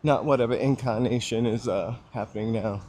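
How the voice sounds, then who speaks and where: A man's voice: mid-range voice, fairly quick, warm tone. Man, casual rambling livestream chat, slightly froggy voice, outdoor street, faint background noise. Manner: rambling, chatty, filler-heavy. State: slightly froggy voice, dry cough. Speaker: livestream walking host. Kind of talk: casual chat to live viewers while walking.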